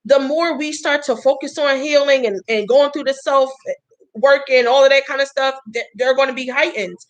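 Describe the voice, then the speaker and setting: Host, woman, 30s: chatty and folksy, higher-pitched voice, talks straight to her viewers. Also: fairly even intonation, normal speed. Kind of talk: casual live-stream talk.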